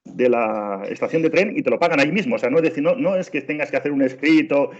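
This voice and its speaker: A man's voice: a little high, fast talker. A middle-aged man from Spain with a Spanish accent, giving a train travel training on a video call, insistent and full of restarts. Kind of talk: webinar presentation. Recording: dull lo-fi video call audio.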